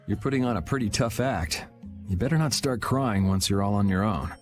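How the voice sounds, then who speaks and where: A man's voice: fairly low pitch, brisk, music in the background, natural voice. A man playing a cool young swordsman in video game dialogue, dry, nonchalant and teasing. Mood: dry, probing.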